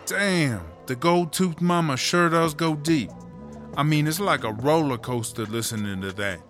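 A man's voice: fairly high pitch, a little slow, music in the background, lightly acted. A man playing a brash, foul-mouthed rap diva in a comedy audio drama, sassy and slangy. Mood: impressed, sassy.

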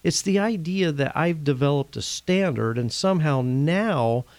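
A man from Indiana hosting a casual faith-based talk, his voice a bit rough from a recent cold, speaking earnestly and conversationally. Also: on the high side, unhurried, darkish tone, no room sound. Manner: earnest, conversational, laughs now and then. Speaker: podcast host.

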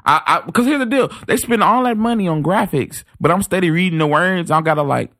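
A man in casual podcast banter, animated, joking and playfully defensive. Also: high-pitched, fairly quick. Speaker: podcast co-host.

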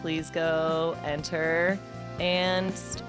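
A young adult woman hosting a casual podcast, bubbly and effusive, quick to laugh. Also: sits low, flat delivery, over music. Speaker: podcast host.

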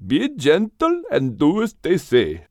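A gentle, wise elephant of a storybook, as a male narrator puts him on, leading the animals. He speaks in a measured, reassuring way, close and dry. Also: a little high, wildly swooping pitch, no echo, pitched above the performer's own voice, heavily acted. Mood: calm, reassuring. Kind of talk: audiobook character voice.

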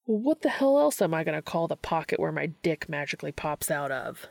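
A woman's voice: low-pitched, big pitch swings, brisk, vocal fry, no room sound, heavily acted. A woman voicing a brash, impatient man with a blunt, slangy manner in a story reading. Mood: snappish. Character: brash, crude, impatient adult man turned sea creature.

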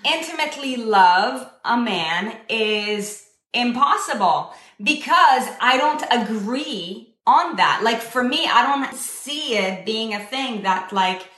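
A woman's voice: fairly high pitch, lively intonation, takes it slowly, pushed and bright. A young woman from Israel speaking casually and emphatically to a group, posing questions to her listeners in a conversational way. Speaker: speaker leading a community discussion. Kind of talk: casual motivational talk to a group. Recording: echoey room.

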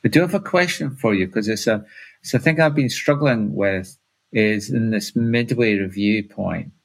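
Lowish man, chatty host thinking aloud. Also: moderate pace, gravelly, rough recording. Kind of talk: conversational interview questions.

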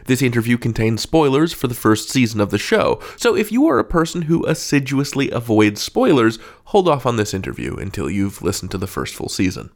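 A man hosting an interview podcast, speaking in an animated, emphatic and conversational way. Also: medium pitch, gravelly. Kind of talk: hosted introduction and conversational interviewing.

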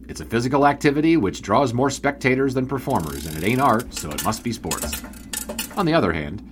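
An actor playing a wry, wordy 1920s sports writer in an audio drama, dryly sardonic in both narration and dialogue. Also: male voice, on the low side, slight background noise. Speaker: narrator and lead character.

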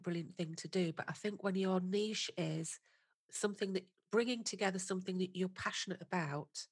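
A woman's voice: on the low side, slightly rough, no room sound. A woman hosting a podcast, talking in an effusive, enthusiastic and chatty way. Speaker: podcast host. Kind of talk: conversational podcast interview.

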